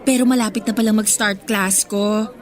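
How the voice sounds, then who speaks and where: A woman's voice: medium pitch, fairly even intonation, moderate pace, natural voice. A Filipino woman playing a bubbly, eager-to-please teenage schoolgirl in a radio drama, perky and chatty in casual Taglish. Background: background music.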